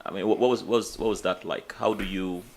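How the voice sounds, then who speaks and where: A man's voice: sits low, fairly even intonation, quick pace, gravelly, darkish tone. A man hosting an interview, asking long, searching questions with many stammered restarts. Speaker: interview host.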